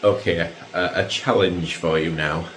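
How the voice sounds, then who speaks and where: A man's voice: low-pitched, steady tone, measured pace, a bit bright, slight background noise. A man chatting casually in a travel vlog, joking about himself and laughing often. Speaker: travel vlogger. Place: hotel room and outdoors.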